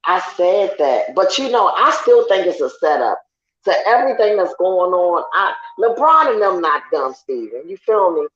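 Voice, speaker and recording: A woman's voice: sing-song, normal speed. A woman, chatting casually as a guest on an online sports talk show and laughing often. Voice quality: deep raspy voice. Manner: laughs often, loud and teasing. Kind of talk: casual chat. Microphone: online call. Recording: roomy, dull lo-fi online call audio.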